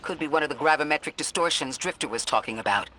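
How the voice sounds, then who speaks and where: An actor playing a calm, analytical robot companion in a video game, speaking in a measured, formal and precise way. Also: male voice, on the high side, a little hiss or hum, low-quality recording. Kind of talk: scripted video game dialogue.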